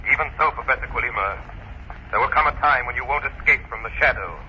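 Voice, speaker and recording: An actor playing an invisible avenging crime fighter, commanding, taunting and deliberate, in an old radio drama. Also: male voice, mid-range voice, natural voice. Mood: ominous. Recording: muffled, noisy old radio broadcast recording.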